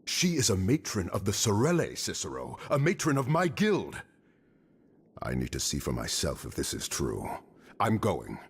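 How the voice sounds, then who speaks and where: An actor voicing a brooding, stubborn mariner in a fantasy video game, terse and grave with a deep, husky, gravelly voice. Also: male voice, huge pitch swings, normal speed, full character voice. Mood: defiant. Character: brooding, gruff male mariner, burdened and stubborn. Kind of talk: dramatic video game voice acting.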